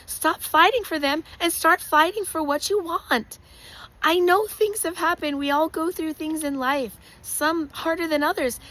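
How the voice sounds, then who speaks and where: A woman with a raspy, hoarse voice giving an earnest motivational talk straight to the listener, often clearing her throat. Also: high voice, fairly bright tone, low-quality recording. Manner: earnest, passionate, direct address with rhetorical questions. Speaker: motivational speaker. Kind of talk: motivational monologue.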